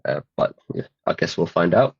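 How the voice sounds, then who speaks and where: A man's voice: low-pitched, animated, moderate pace, vocal fry, breathy edge, no echo, highs cut off. A man co-hosting a casual gaming podcast, laid-back, laughing easily and swearing casually. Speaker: podcast co-host.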